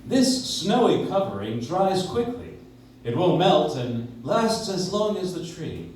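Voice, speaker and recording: Male announcer for old-style radio show, animated scripted delivery, far from the mic, faint noise behind. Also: sits high, slow. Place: live stage in a coffee shop. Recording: very echoey room, distant, faint noise.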